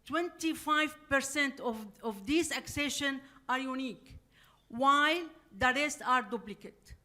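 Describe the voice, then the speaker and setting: A woman from Syria with a Syrian Arabic accent giving a scientific conference presentation in halting non-native English. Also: sits high, pushed and bright. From Aleppo, Syria. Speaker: conference speaker, plant virologist.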